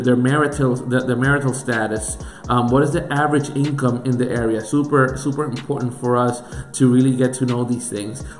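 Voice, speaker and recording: Man, Puerto Rican accent, pastor, earnest teaching talk, emphatic, echoey room, faint music behind. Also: medium pitch. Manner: earnest, emphatic, asks a series of questions. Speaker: pastor and church planter.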